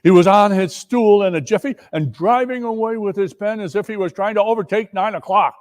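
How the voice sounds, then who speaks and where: A man's voice: high voice, a little fast, a little mellow, in a large hall. A man reading a classic story aloud as dramatic narration, with theatrical, emphatic phrasing. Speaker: story reader and performer.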